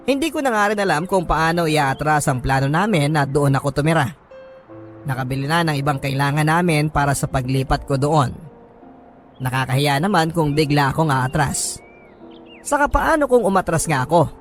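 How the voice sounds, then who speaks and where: Higher-pitched male radio storyteller. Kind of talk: dramatic first-person story narration. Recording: music under the voice.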